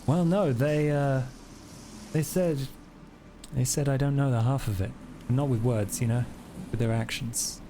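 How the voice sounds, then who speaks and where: A man voicing a brooding, aggrieved private investigator in a tabletop game, confiding in a halting way. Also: mid-range voice, fairly even intonation, noisy background, natural voice. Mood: aggrieved, evasive.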